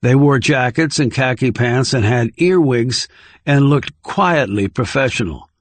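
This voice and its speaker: A man reading scripted thriller narration in a measured, composed way. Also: medium pitch, no room sound. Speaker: audiobook narrator.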